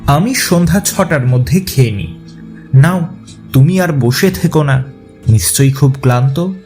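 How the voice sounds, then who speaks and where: Audio horror story ghost played by a man: tall, genial male college pal, unhurried and coaxing, faint music behind. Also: fairly high pitch, animated, darkish tone. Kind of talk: dramatized audio story acting.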